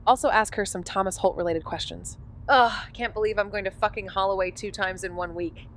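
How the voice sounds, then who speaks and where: An actress playing a blunt, teasing young amateur sleuth in an audio drama, casual and insistent in a mid-range voice. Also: female voice, mid-range voice, steady tone, brisk, fairly bright tone, a little hiss or hum, close to an everyday voice. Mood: wry. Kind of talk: scripted audio drama dialogue and narration.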